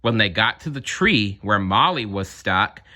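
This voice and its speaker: A man reading a children's story aloud in an expressive narrating style. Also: lowish voice, unhurried. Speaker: storybook reader.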